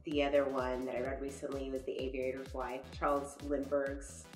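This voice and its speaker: A woman's voice: a bass voice, monotone, moderate pace, darkish tone. A woman in her thirties from Dallas whose first language was Spanish, chatting casually as an interview guest, laughing often and trailing off mid-sentence. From Dallas, USA. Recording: echoey, from far off, faint music.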